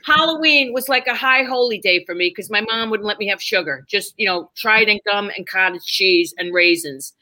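A woman with a New York accent, telling lively stories as a podcast guest and acting out the people in them. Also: mid-range voice, normal speed, hardly any pauses. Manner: animated, acts out voices in her stories. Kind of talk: casual storytelling chat.

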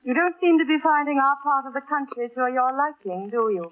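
A sharp-tongued young gentlewoman of a country house in an old radio play. Her voice is high, with an arch, dry tease in it, and the broadcast sounds narrow and muffled. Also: animated, fairly quick. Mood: arch, cutting. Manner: arch, dryly teasing. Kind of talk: 1940s radio drama acting.

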